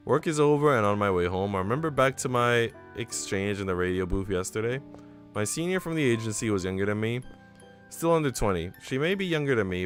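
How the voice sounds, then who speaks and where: An earnest, self-doubting young woman tells her own visual novel story in a man's fairly low voice. The reading is reflective and storybook-like, with faint music underneath. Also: close to an everyday voice. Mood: proud, reflective. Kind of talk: visual novel narration read aloud. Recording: music behind the voice.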